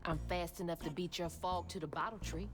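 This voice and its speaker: An actress playing a headstrong, earnest young daughter with a Southern American accent in a video game cutscene. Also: female voice, fairly low pitch, steady tone, brisk, lightly acted. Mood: defiant. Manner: earnest, urgent. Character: determined, headstrong young daughter searching for her mother. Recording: loud game music behind.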